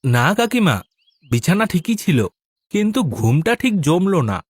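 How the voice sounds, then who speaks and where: A man playing a curious, stubborn young city visitor in an animated story, questioning everything persistently. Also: high-pitched, normal speed, husky, no echo, heavily acted. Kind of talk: animated audio story dialogue. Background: music.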